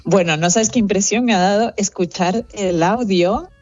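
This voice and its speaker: A middle-aged woman with a Venezuelan accent, speaking thoughtfully as an interview guest, pausing with fillers as she reflects. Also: medium pitch, a little mellow, no echo, lo-fi sound. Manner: reflective, earnest, with fillers and restarts. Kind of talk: conversational interview.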